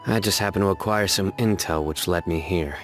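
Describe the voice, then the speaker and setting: A man's voice: low-pitched, a little fast, over loud music, natural voice. A man voicing a stern, serious male judge in a video game, speaking in a terse, matter-of-fact way.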